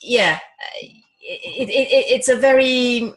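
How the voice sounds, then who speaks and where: A woman's voice: fairly high pitch, slow pace, rough recording. A woman taking part in an online webinar, answering a question while searching for words and repeating herself, heard over a video call. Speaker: webinar participant.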